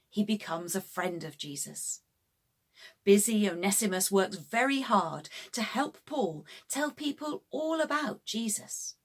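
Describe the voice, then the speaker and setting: A woman reads a children's bedtime story at a slow, measured pace. Her voice is husky and sits at a medium pitch. She phrases everything simply and deliberately for young listeners. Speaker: storybook narrator.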